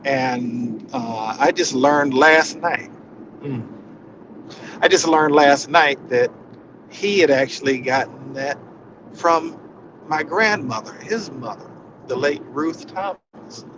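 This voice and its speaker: A man giving an informal inspirational talk in a reflective, halting way, often repeating his phrases. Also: mid-range voice, muffled recording, background noise. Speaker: spiritual session leader.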